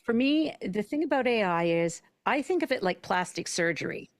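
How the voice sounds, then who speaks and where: A woman's voice: medium pitch, lively intonation, normal speed, no echo. A woman with a mid-range voice, recorded dry and close, chats as a panelist. She is candid and wry in a casual, conversational style. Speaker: panelist, audio drama creator.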